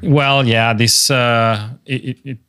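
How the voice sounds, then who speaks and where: A man's voice: medium pitch, fairly even intonation, takes it slowly, sharp tone, clean tone, no echo. A man hosting a podcast in casual chat, talking with enthusiasm and rambling through many fillers. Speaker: podcast host.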